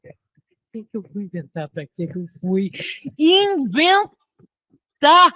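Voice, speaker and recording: An actress playing an anguished, regretful, weary woman runner mid-marathon in an audio drama, with a wailing, drawn-out lament. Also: fairly high pitch, big pitch swings, sharp tone, no echo, heavily acted, poor audio.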